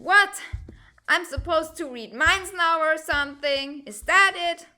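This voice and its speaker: Guy doing high voiced teen cadet boy, earnest n frustrated, slow talker, comic dub. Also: bright, forceful tone, full character voice. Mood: cheeky, animated. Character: earnest, frustrated 16-year-old military academy boy. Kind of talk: animated comic fan dub.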